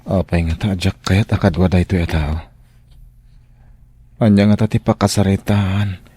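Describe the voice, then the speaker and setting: A man's voice: low-pitched, fairly even intonation, moderate pace, warm tone, husky. A man playing a dutiful, firm restaurant employee in a radio drama, with a Filipino accent. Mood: firm, guarded.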